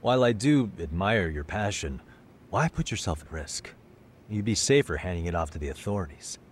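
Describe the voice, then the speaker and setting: A man's voice: low voice, very animated, normal speed, a little hiss or hum. An actor playing an easygoing adult male private detective in a video game dub, casual and dryly understated. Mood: wry. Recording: music under the dialogue. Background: music.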